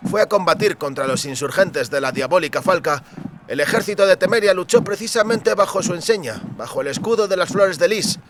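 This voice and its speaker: A man's voice: high-pitched, lively intonation, brisk, background noise, pitched above the performer's own voice. A man voicing an earnest, bookish young man who explains things formally and pedantically in a fantasy audiobook. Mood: eagerly lecturing.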